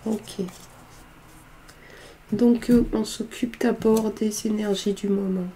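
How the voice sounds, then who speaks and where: A woman's voice: mid-range voice, fairly even intonation, takes it slowly, mellow, low-quality recording. A woman with a cold reading tarot cards in a casual, hesitant way, with lots of filler sounds. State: has a cold, congested voice. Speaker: tarot card reader.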